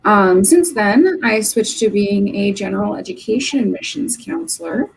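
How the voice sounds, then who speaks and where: A woman's voice: medium pitch, lively intonation, moderate pace, vocal fry, a little hiss or hum, rough recording. A young woman from Oregon, an admissions counselor, answering interview questions earnestly from her office over a video call, with frequent um's. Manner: earnest, fillers of um between points.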